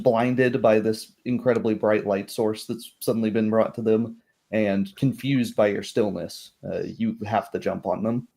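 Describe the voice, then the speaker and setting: A man with a fairly low voice acts as game master of a tabletop roleplay. He narrates in descriptive, literary phrasing, setting out scenes for the players. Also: darkish tone.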